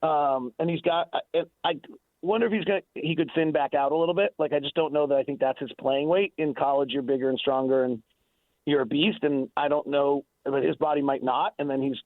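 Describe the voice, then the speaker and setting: A man's voice: a little high, no room sound. A man giving unscripted sports analysis as a radio guest, emphatic and often restarting his phrases. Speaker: sports analyst and radio play-by-play announcer interviewed on a show. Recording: tinny, dry phone-like radio line.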